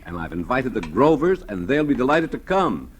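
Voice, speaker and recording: A man's voice: mid-range voice, big pitch swings, moderate pace, lightly acted. An actor playing a needling, falsely solicitous man of the house in a theatrical 1940s radio drama manner. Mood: falsely genial. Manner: insinuating, theatrical. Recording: noisy old radio recording.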